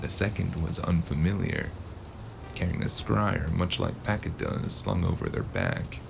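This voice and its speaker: A man narrating a noir audio drama in a measured, gritty first-person voice. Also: low-pitched, gravelly. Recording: loud music behind.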